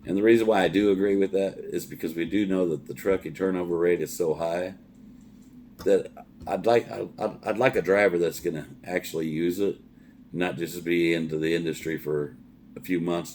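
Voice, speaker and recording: A middle-aged man with a very deep, low voice talks like a trucker giving followers his take on some gear. He is plain-spoken and folksy, doubling back on words in casual review chat. There is faint background noise on the recording. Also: fairly even intonation, normal speed, a little mellow.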